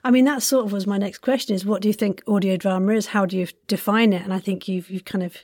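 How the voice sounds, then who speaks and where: A woman's voice: mid-range voice, fairly even intonation, fast talker, darkish tone, no echo. Effusive woman host, close dry sound. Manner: effusive, encouraging. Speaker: interview host and audio drama voice actor. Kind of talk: casual interview chat.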